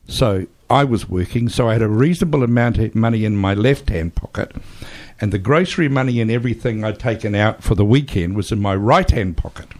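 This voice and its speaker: A man's voice: fairly low pitch, a little fast. An older man, hosting a casual radio chat and telling stories with a chuckle. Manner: chatty, anecdotal, chuckles through stories.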